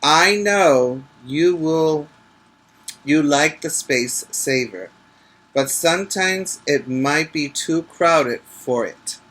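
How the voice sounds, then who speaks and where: A man plays a storybook father guiding his young son. He speaks very slowly and patiently, in a bright voice, coaxing and instructing with gentle firmness. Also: on the high side, expressive, exaggerated voice. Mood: patient, firm.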